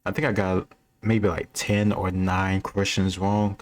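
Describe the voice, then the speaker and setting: A man's voice: low voice, little pitch movement, measured pace. A man giving casual, off-the-cuff commentary as a video host, thinking aloud and offering his opinions.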